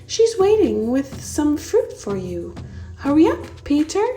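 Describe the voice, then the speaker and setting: A woman voicing an excitable, playful little fairy boy from a storybook, calling out eagerly. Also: high voice, measured pace, recorded from a distance, soft background music, voice pushed up.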